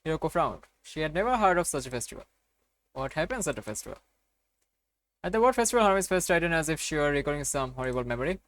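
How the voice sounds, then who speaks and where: A man's voice: fairly high pitch, big pitch swings, fast, a bit bright, poor audio. A man hosting a game playthrough, reading a visual novel aloud with casual commentary.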